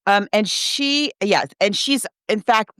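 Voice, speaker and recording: Clear-voiced woman, chatty podcast co-host. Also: medium pitch, slow, fairly bright tone, dry recording, clean studio sound. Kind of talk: casual book discussion, recapping a story.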